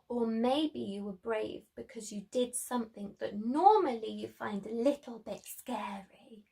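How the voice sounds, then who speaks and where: Female preschool storyteller, highish voice, very unhurried, expressive delivery aimed at small children. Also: creaky. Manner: animated emphasis, simple child-directed phrasing.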